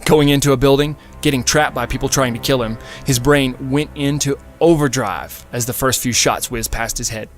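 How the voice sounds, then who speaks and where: A man's voice: mid-range voice, fairly even intonation, normal speed, few pauses. A man narrates fiction in a mid-range voice. His delivery is scripted and dramatic, in the manner of an audiobook, with music playing behind him.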